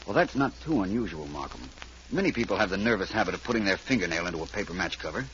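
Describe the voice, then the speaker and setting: An actor playing a suave, wry, confident gentleman detective in a 1940s radio mystery. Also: deep voice, sing-song, fast talker, exaggerated voice. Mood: musing. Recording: noisy, muffled old radio recording.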